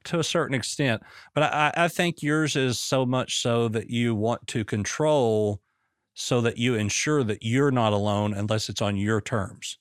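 Male coach, mid-pitched, measured and probing, dry close recording. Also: smooth, dry recording. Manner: probing, measured, repeats questions. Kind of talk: coaching conversation.

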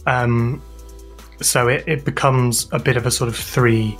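Halting British man explaining his work. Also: medium pitch, fairly even intonation, fairly bright tone, soft background music. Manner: hesitant, halting phrasing with repeated words. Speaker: interview guest, audio drama sound designer and composer. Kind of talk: explanatory interview talk.

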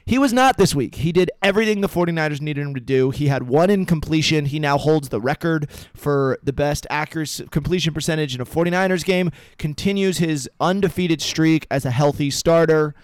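A man hosting a football podcast, talking in a measured, analytical way. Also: on the high side, clean tone, no room sound. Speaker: podcast host. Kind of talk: casual sports chat.

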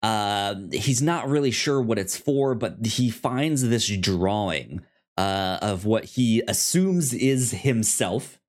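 Male podcast co-host, lower-pitched, unhurried and halting, casual recap chat. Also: animated.

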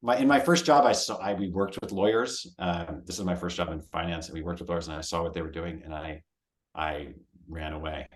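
A man co-hosting a casual podcast, talking in an animated way as he thinks aloud. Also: low-pitched, a little fast. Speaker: podcast co-host. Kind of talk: casual conversation. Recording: roomy echo.

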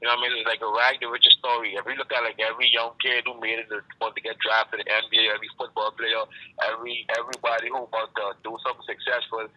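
A man's voice: medium pitch, level tone, racing. A man from the Bahamas with a Bahamian accent, a rapper talking by phone in a laid-back way, often saying "you know what I'm saying". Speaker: rapper guest. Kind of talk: phone interview. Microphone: phone line. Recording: phone call over music.

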